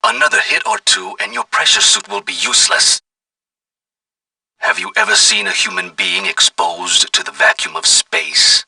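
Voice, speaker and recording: Arrogant, piercing megalomaniac villain. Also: male voice, sits high, expressive, moderate pace, vocal fry, sharp tone, dry recording, far from the mic, full character voice. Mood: gloating menace. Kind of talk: theatrical video game villain taunts.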